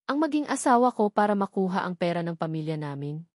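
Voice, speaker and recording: A woman's voice: fairly low pitch, sing-song, fast talker, no echo, clean studio sound. A woman voicing a betrayed, furious young heiress in an audio drama, accusing and emphatic in manner. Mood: angry accusation.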